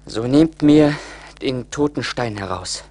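A man's voice: medium pitch, lively intonation, takes it slowly, warm tone. An actor playing a troubled man full of regret in a radio play, brooding and earnest. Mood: pleading. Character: troubled, pleading man regretting his lost heart. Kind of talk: 1980s German radio play acting. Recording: faint hiss of old vinyl record.

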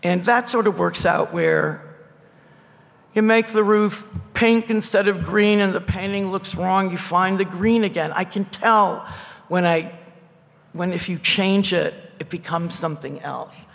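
An older woman painter with a loud voice, talking bluntly and wryly as the guest at a public conversation. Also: on the low side, steady tone, measured pace, gravelly. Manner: blunt, wry and digressive. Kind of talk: candid conversational talk at a public event. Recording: echoey hall.

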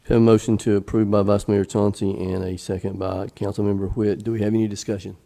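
The low, level voice of a man at the center of a town dais, moving the agenda along. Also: flat delivery, fast talker, vocal fry, mellow. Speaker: mayor chairing the meeting. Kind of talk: formal procedural chairing. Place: council chamber. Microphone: desk microphone on the dais.

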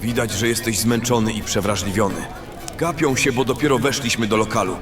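A man's voice: on the low side, fairly quick, music in the background, close to an everyday voice. A man playing a brash, greedy fantasy adventurer in an audio drama, talking in a coarse, boastful, grumbling way. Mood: casual.

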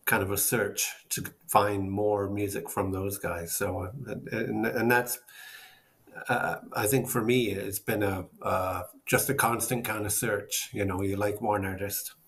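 A man's voice: lowish voice, level tone, measured pace, a bit bright. A man in his fifties telling stories casually, often searching for words, on a video call from home. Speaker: guest jazz bassist. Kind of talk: casual storytelling in a panel interview. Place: small room at home, indoors. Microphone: laptop or webcam video call.

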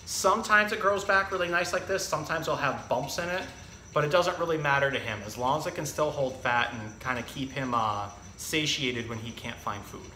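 A man's voice: on the high side, a little fast, sharp tone. A man in his thirties talking and reading to children in a casual, explanatory, educational way as a zookeeper presenter. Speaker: zookeeper presenting an animal storytime. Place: indoor room with hard walls and tiled floor. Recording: echoey room, faint background noise.

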